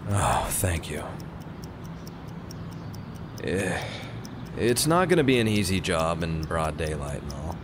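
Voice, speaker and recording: A man acts out a weary crime-gang family man in a radio drama. His low voice is hesitant and conversational, reluctant about the work. A clock ticks in clearly noisy ambience behind him. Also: expressive, slow pace, pauses often. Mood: subdued, friendly. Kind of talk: naturalistic radio play acting.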